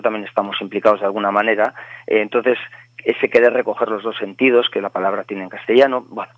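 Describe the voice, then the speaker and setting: A man's voice: lowish voice, no echo. A man, a literary translator, speaking reflectively and hesitantly in an interview, with frequent filler sounds. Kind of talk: conversational interview, anecdote telling. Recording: tinny phone-line sound.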